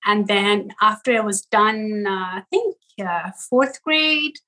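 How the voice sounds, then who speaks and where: A woman's voice: mid-range voice, expressive, takes it slowly, bright, forceful tone. A woman from Iran with an Iranian accent, a native Persian speaker, chatting casually as an interview guest. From Iran, partly raised in Sweden. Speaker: interview guest, social media comedy creator. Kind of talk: casual chat, telling own story.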